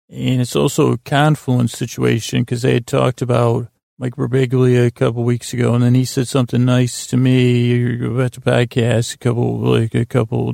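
Gravelly, creaky American man rambling softly along. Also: mid-range voice, no echo, clean studio sound. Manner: halting, circling, tangent-prone, self-deprecating. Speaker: podcast host. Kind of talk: rambling, meandering bedtime storytelling monologue.

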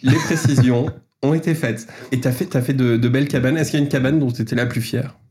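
A man's voice: mid-range voice, fairly even intonation, fairly quick, a little mellow. A man hosting a podcast interview, playful and teasing, fond of flowery introductions. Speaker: podcast host.

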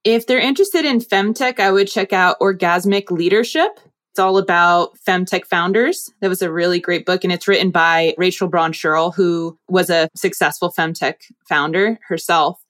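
A woman from New Jersey in her thirties, a bubbly investor talking as a podcast guest, her voice leaping up when she quotes people. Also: moderate pace. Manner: bubbly, animated, jumps up in pitch when quoting people. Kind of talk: podcast interview.

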